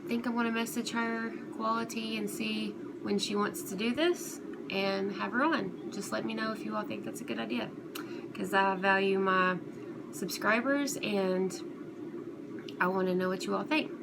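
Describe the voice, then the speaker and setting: Clear background noise sits behind a woman with a mid-pitched voice. She talks off the cuff like a vlogger addressing subscribers, halting and rambling in a conversational way. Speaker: YouTube commentary host. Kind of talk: casual unscripted vlog talk to viewers.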